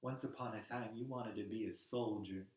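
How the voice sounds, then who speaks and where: A man performs an impassioned male activist in a dramatic monologue. His mid-pitched voice carries grief and defiance in short, emphatic phrases. The room around him is noticeably echoey. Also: animated, a bit bright. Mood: quiet, mournful. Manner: emphatic, halting delivery in short weighted phrases.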